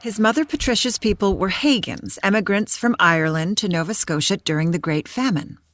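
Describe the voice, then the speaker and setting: An actress playing a dramatic, measured storyteller of family history in an audio play. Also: female voice, mid-range voice, fairly bright tone, no echo, clean studio sound, natural voice. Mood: dramatic storytelling. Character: female storyteller recounting a politician's family history.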